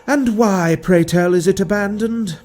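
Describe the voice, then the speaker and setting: A man's voice: high-pitched, unhurried, a little mellow, voice pushed up. A man voicing a defiant, wary time-travelling hero in an audio drama, pointed and emphatic, with music underneath. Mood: sarcastic.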